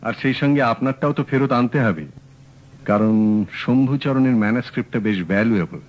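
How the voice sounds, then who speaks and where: An actor playing a sharp young male private detective in a radio drama, asking brisk, probing questions. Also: mid-range voice, close to an everyday voice. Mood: calm, probing. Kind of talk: 1970s radio drama acting. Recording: dull old radio broadcast.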